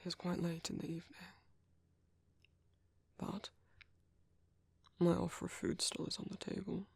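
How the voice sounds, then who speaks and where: A man with a British accent playing a gentle, caring vampire priest in a soft-spoken ASMR roleplay, hesitant, polite and reassuring in a half-whispered, breathy, gravelly voice. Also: high voice, level tone, measured pace, dry recording, exaggerated voice.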